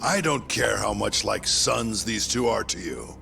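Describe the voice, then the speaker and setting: A man playing a menacing, foul-mouthed middle-aged yakuza captain in a crime video game, with a gravelly, sneering delivery. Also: fairly low pitch, animated, unhurried, heavily acted. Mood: stern, threatening. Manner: sneering, threatening. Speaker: voice actor in a video game dub. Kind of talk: cinematic crime game acting. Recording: dramatic music behind the voice.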